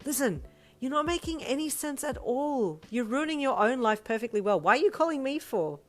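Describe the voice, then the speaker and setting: A woman voicing a blunt, protective, exasperated sister in a story, scolding loudly. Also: sits high, sing-song, fairly quick, faint music behind, voice pushed up. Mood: exasperated, bossy.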